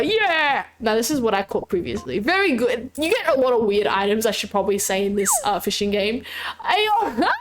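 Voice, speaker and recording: A woman's voice: sits high, slight background noise. A woman streaming a fishing game, chatting casually in a bubbly way, with a slight sore throat. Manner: bubbly, chatty, frequent squeals and laughs. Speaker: VTuber streamer. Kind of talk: casual live-stream chat.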